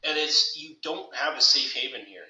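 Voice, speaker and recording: A man's voice: on the high side, moderate pace, pushed and bright. A man in his thirties co-hosting a podcast, talking emphatically and heatedly, full of "like" fillers. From United States. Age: 30s. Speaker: podcast co-host. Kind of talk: casual political chat. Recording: echoey room.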